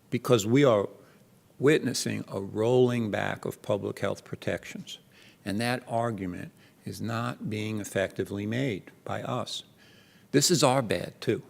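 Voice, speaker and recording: A man's voice: lowish voice, gravelly. An older man with a New Jersey accent giving a conference keynote, talking animatedly and conversationally with many restarts. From Jersey City, New Jersey. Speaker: keynote speaker. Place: large lecture hall. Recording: long hall reverb.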